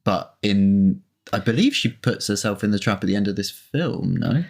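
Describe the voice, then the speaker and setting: Lower-voiced man hosting casual film commentary. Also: expressive, moderate pace, vocal fry. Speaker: podcast co-host.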